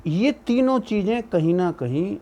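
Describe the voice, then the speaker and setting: A man's voice: high voice, animated, a little slow, warm tone, muffled recording. A man giving an explanatory legal commentary, arguing through rhetorical questions and mixing in English legal terms. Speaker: legal educator giving opinion.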